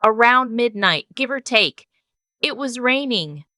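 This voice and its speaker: A woman's voice: on the high side, animated, slow pace, pushed and bright, dry recording, studio quality, voice pushed up, heavily acted. A woman voicing a controlling, scheming man with patronizing, tightly held patience in an audio story. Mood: evasive.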